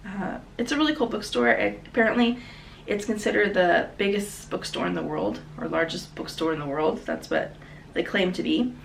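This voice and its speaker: A woman's voice: mid-range voice, creaky, a bit bright. Woman chatting about books, just over a cold. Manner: chatty, enthusiastic, self-correcting. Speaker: book vlogger. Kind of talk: casual book haul chat. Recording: slightly distant mic, faint background noise.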